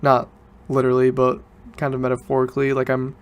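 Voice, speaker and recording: A man's voice: mid-range voice, monotone, fast talker, clear, resonant tone. A young man, student age, talking casually and informally as a solo podcast host, rambling and correcting himself as he goes. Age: teens to young adult student.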